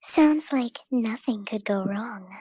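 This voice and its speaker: A woman's voice: a little high, takes it slowly, mellow, breathy edge, no room sound. A woman casually reading visual novel lines among friends. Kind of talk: casual chat and amateur read-aloud of game script.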